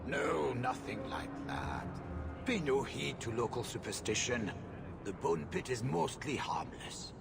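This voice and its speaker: An actor playing an anxious, haughty middle-aged mine owner in a video game, fretful and dismissive, with a gravelly voice. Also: male voice, medium pitch, very animated, a little slow, music in the background.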